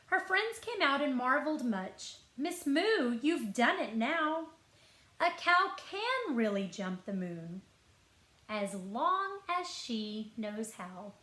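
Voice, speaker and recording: Slow-reading woman storyteller in an echoey room. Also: a little high. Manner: expressive storytelling, clear deliberate phrasing, asks listeners questions. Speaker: school librarian. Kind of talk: picture-book read-aloud to children. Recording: roomy echo.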